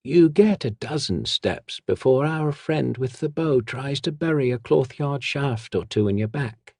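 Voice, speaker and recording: A man's voice: medium pitch, animated, measured pace, darkish tone, no room sound, clean studio sound, pitched above the performer's own voice. A man voicing a menacing female body snatcher who speaks in a rattling, hissing whisper.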